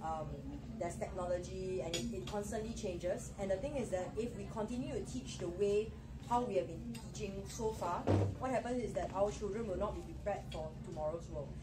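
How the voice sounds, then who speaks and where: A woman's voice: mid-range voice, fairly quick, distant mic, loud music behind the voice, low-quality recording. Singaporean woman presenting a seminar. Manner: checks in with listeners with frequent "okay?". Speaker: education presenter.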